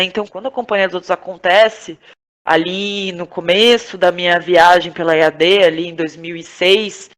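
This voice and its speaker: A Brazilian woman from Porto Alegre speaking reflectively as an interview guest, halting and searching for her words. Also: mid-range voice. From Porto Alegre, southern Brazil. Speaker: podcast guest, theatre director, playwright and actress.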